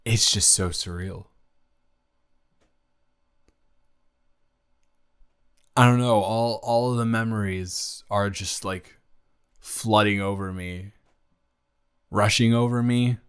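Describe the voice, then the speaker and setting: A man's voice: on the low side, fairly even intonation, a little slow, clean tone, lightly acted. A man playing a nervous, smitten young college athlete reunited with a childhood friend, rambling and stammering earnestly in an improvised romantic audio roleplay. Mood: amazed, flustered.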